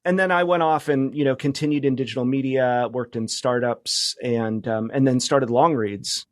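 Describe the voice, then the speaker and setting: A man's voice: medium pitch, fairly even intonation, no room sound. Reflective middle-aged man, dry close sound. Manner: halting, reflective, understated. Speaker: podcast guest, media professional. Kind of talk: casual conversational interview.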